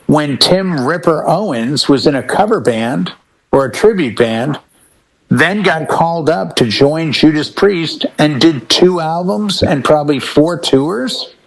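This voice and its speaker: A man's voice: a little high, rough recording. A middle-aged man chatting casually and emphatically about music, telling stories and giving firm opinions as a panelist. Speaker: talk show panelist.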